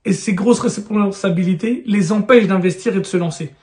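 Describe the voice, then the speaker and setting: Thirtysomething guy w a high voice, pushy and persuasive, talking straight at u like a buddy. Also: brisk, a little mellow. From France. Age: 30s. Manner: urgent, persuasive, addresses viewer informally. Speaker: real estate YouTuber. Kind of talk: direct-to-camera advice vlog.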